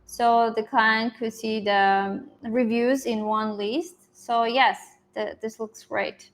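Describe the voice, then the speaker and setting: Casting: female online coach, fairly high voice, encouraging and informal, leading a group video call. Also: fairly even intonation, slow pace. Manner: encouraging, affirming.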